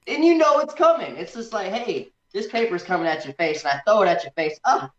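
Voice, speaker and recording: A man's voice: high-pitched, big pitch swings, brisk, fairly bright tone. A man in a casual film discussion, animatedly acting out imagined remarks as he reviews. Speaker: guest co-reviewer on a movie discussion. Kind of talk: casual chat. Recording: echoey room, dull lo-fi sound.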